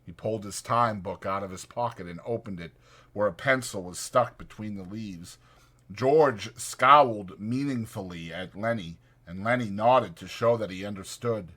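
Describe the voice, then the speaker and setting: A man's voice: lowish voice, moderate pace, pushed and bright. A man reading scripted literary narration as an audiobook narrator.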